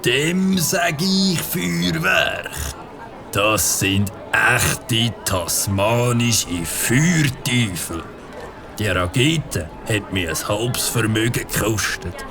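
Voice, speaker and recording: A man playing a boastful, swaggering fireworks show-off in a children's audio drama, with a Swiss German accent and a drawn-out delivery. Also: mid-range voice, animated, vocal fry, heavily acted. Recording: music and crowd noise behind. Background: music and a crowd.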